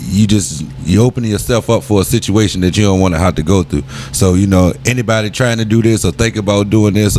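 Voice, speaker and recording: A man's voice: fairly low pitch, mellow, slight background noise. A man speaking as a guest in casual chat, giving earnest advice. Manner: earnest, advising.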